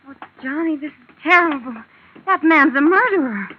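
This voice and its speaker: An earnest, anxious young sidekick in a radio play. Her high, gentle voice comes thin and phone-like from an old, slightly noisy recording. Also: expressive, moderate pace. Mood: worried. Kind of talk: 1940s radio drama acting.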